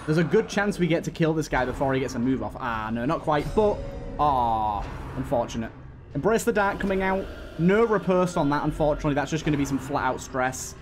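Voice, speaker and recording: A man with a slightly high voice narrates his video game play casually. He thinks out loud and speaks to the characters as he goes, with music playing behind him. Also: animated, fairly quick. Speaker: gaming video host. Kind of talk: casual gameplay commentary.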